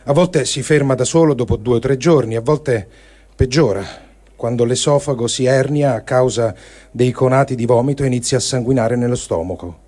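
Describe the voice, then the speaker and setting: A man with a mellow, dark-toned voice reads a written testimony in the first person. His pitch is average for a man, and his phrasing is sober and measured. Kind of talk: sober reading of a first-person war diary.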